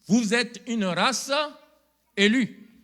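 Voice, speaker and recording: A middle-aged pastor, preaching a sermon in a church, calling out to the congregation and rising to loud emphatic peaks. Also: male voice, high-pitched, drawn-out words.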